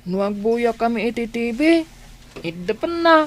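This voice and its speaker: A woman's voice: fairly high pitch, expressive, measured pace, a little hiss or hum. A woman with an Ilocano accent playing a plaintive young child who confides in a parent, in a radio drama. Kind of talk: Filipino radio drama acting.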